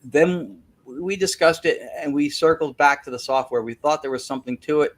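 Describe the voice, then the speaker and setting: A man's voice: fairly high pitch, normal speed. A man as an interview guest, explaining a technical method in detail and repeating words as he thinks. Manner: self-correcting, repeats words while thinking. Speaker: guest, spirit-communication researcher.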